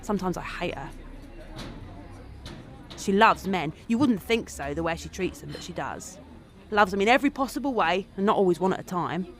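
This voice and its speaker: A woman's voice: fairly low pitch, expressive, normal speed, long pauses. A woman acting a wry, earthy confidante who gossips in a sardonic monologue for an audio drama. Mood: bitter, wry.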